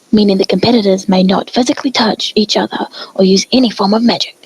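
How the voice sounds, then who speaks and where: A woman's voice: mid-range voice, breathy edge, poor audio. A woman voicing chatty pony sports commentators calling a contest in a story reading.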